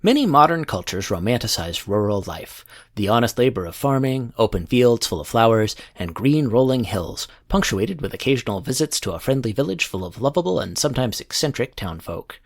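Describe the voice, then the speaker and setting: A man hosting a music podcast, reading scripted introductions in a measured announcer-like manner. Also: mid-range voice, sing-song. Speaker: podcast host. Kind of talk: scripted music show narration.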